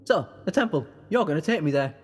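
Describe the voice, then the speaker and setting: A man playing a quick-witted, sardonic time-travelling adventurer in an audio drama, speaking in a wry, emphatic way. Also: fairly high pitch, expressive, breathy edge. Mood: urgent, accusing. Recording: reverberant, music underneath.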